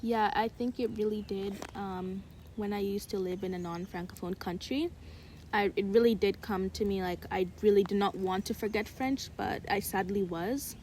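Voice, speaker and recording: A woman's voice: mid-range voice, moderate pace, a little mellow, a little hiss or hum. A young woman, in her teens, with an American accent, reflective and casual, co-hosting a podcast chat with many filler likes. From Uganda. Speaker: podcast co-host.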